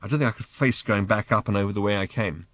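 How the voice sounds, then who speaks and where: A man voicing an exasperated, frazzled human man who turns sarcastic and fretful, halting with hesitant uhs in calm moments and rising to strained near-shouts. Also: on the low side, no echo, studio quality, natural voice. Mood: flustered.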